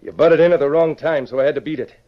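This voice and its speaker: An actor playing a cornered, violent killer in an old radio western, gloating and threatening. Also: male voice, fairly high pitch, steady tone, fast talker, close to an everyday voice. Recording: dull vintage radio recording.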